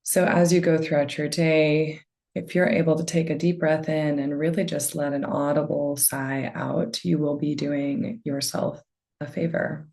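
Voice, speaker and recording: A woman guides a meditation in a low, soft voice. She speaks very slowly, leaving space between phrases. Her gentle delivery settles into a noticeable creak. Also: flat delivery. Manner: gentle, unhurried phrasing with long pauses. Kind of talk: guided meditation, slow soothing narration.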